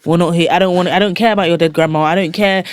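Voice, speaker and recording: A woman's voice: fairly low pitch, racing, no echo. A young woman in her twenties, co-hosting a podcast in casual chat. She talks bluntly and animatedly and fills her speech with "like". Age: 20s. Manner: frank, blunt and animated, says "like" a lot. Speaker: podcast co-host.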